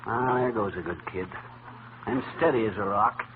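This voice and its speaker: A man's voice: on the low side, moderate pace, close to an everyday voice. A man playing a grumpy, blustering middle-aged husband in a 1940s radio comedy, sputtering and complaining with easy exasperation. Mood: wry, grumbling. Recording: noisy, tinny old radio broadcast.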